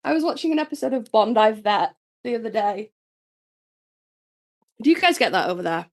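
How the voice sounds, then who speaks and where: A woman co-hosting a podcast in casual chat, a little hoarse and nasal from being sick, telling stories in a chatty, swearing way. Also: on the high side, fairly quick. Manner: chatty, swearing, animated storytelling. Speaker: podcast co-host.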